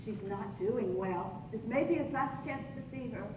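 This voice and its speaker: An actress playing a regretful middle-aged widow meeting an old flame in a melodramatic, hesitant stage style. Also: female voice, a little high, normal speed, lightly acted. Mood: wistful. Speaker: stage actor. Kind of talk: live stage radio play, 1940s film romance parody. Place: theatre stage, live performance. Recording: echoey, distant, noisy stage recording.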